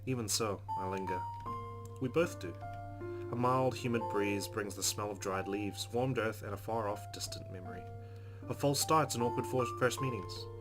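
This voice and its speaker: Lower-voiced man reading over game music. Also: moderate pace. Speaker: let's play gamer. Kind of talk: reading game narration aloud, expressive.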